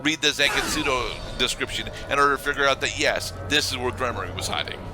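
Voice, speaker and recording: A man giving casual video game commentary, explaining things in a halting, rambling way. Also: medium pitch. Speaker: let's play commentator. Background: video game music.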